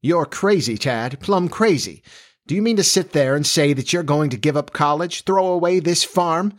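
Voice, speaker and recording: A man voicing a sharp, no-nonsense farm mother in a story, scolding and exasperated in a brisk, folksy way. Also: on the high side, big pitch swings, husky, voice pushed up. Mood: indignant.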